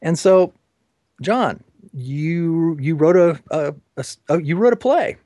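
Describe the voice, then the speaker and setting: A man hosting an informal interview show, speaking haltingly and repeating words as he goes. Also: fairly high pitch, lively intonation, mellow, no room sound, studio quality.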